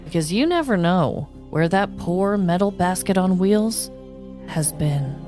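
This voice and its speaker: A woman's voice: on the low side, lively intonation, unhurried, darkish tone, faint music behind. A woman co-hosting a comedy podcast, chatting casually and swearing freely. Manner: casual, profane. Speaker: podcast co-host.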